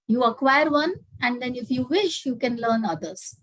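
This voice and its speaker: A woman's voice: fairly high pitch, normal speed, no room sound, low-quality recording. A woman with an Indian English accent giving a webinar lecture and answering questions, explaining steadily with frequent fillers. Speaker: guest speaker. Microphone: video call.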